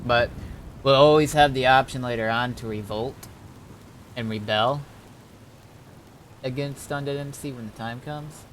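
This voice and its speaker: A man with a mid-pitched voice talks casually to his game stream. He deliberates out loud in halting phrases, mulling over each thought. Faint noise like rain and rumble sits behind him. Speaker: streamer and roleplay gamer. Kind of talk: casual game stream talk, thinking aloud.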